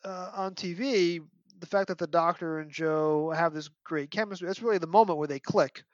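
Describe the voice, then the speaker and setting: A man's voice: fairly high pitch, moderate pace, no room sound. A man giving an articulate, detailed analysis as a guest in a podcast discussion. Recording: dull, dry lo-fi audio.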